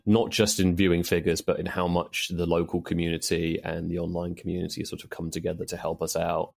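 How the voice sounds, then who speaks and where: A man's voice: sits low, vocal fry, darkish tone. A man in a casual interview, talking candidly and often quoting his own thoughts. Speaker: audio drama co-creator, interview guest.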